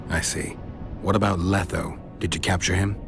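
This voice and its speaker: An actor playing a stoic, world-weary monster hunter in a video game, terse and deadpan with a gravelly voice. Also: male voice, deep voice, normal speed, over music. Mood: dry.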